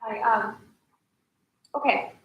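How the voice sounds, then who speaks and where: A young actress in a staged reading playing a shaken, apologetic woman confiding in friends, speaking haltingly. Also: muffled recording, a little hiss or hum, natural voice. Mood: hesitant, shaken. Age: young adult. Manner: halting, hesitant. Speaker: actor. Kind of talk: staged play reading, naturalistic drama. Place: large echoey indoor room. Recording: echoey hall, distant microphone.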